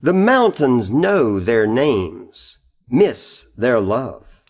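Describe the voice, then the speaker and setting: A man's voice: mid-range voice, sing-song, drawn-out words. A man reading poetry aloud in a recitation style. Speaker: poetry reader.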